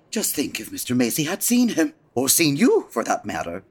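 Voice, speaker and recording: A woman's voice: deep voice, huge pitch swings, moderate pace, a little mellow, breathy edge, full character voice. A woman acting a prim, effusive, easily shocked store employee with old-fashioned manners, in a 1940s-style radio play. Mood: shocked, disapproving.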